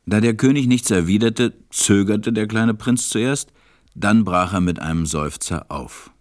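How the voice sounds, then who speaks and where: Male narrator, deep pitch, measured storytelling, scripted storybook, radio play, dry recording. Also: no room sound.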